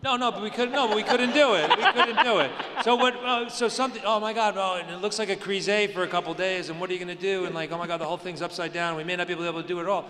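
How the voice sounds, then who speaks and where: Excitable male panelist, bright and forceful tone, long hall reverb. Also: sits high, quick pace, hardly any pauses. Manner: excitable, repeats phrases. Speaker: writer. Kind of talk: panel discussion. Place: large convention hall stage. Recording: echoey hall, faint hum.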